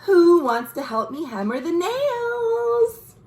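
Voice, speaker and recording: A woman's voice: extremely high pitch, very animated, slow pace, darkish tone, pitched above the performer's own voice, exaggerated voice. A woman voicing a determined little girl builder who speaks firmly and insists on doing the work herself. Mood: calling out. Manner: firm, self-reliant.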